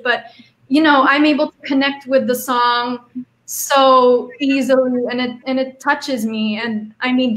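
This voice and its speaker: A woman's voice: on the high side, fairly bright tone, low-quality recording. A woman singer guesting on a radio show, speaking earnestly and articulately in English. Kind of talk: casual radio interview.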